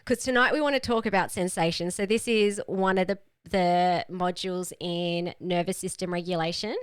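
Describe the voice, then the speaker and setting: A woman's voice: lowish voice, steady tone, moderate pace, clear, resonant tone, no echo. A woman leading a live online group call as a health coach, teaching in a casual, explanatory and emphatic way.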